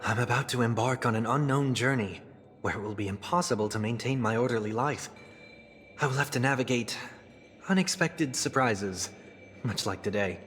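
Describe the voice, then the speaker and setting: An actor playing a refined, introspective man of rigid discipline in a video game, speaking in a measured, formal, earnest way. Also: medium pitch, fairly bright tone, close to an everyday voice. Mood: uneasy, reflective. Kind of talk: scripted dramatic game dialogue. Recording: background music under voice.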